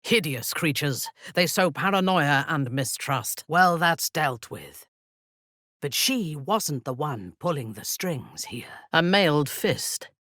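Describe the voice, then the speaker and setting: A woman voicing a ruthless, haughty dark elf warrior commander in a video game, speaking in an imperious, formal, menacing way. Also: sits low, lively intonation, slow, no room sound, studio quality, full character voice. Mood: wary, measured.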